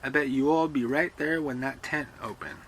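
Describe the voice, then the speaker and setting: A man's voice: medium pitch, normal speed, a bit bright, pitched above the performer's own voice, natural voice. A man voicing a teasing young servant boy who talks in a drawling Southern dialect. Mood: wheedling.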